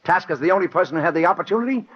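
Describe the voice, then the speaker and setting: Scornful police captain, animated, muffled. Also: male voice, high voice, very fast, husky. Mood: impatient. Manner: blunt, slangy, sarcastic. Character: hard-boiled, scornful, bullying male police captain. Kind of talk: 1940s radio acting. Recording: muffled old radio recording.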